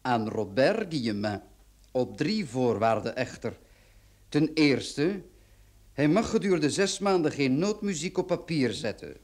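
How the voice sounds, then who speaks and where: A man playing a brisk, fussy notary in an old Dutch radio play, speaking formally and deliberately. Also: medium pitch, sing-song, a little mellow. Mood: formal, declaiming. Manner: formal, deliberate, shushing interruptions. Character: brisk, fussy male notary reading out a will.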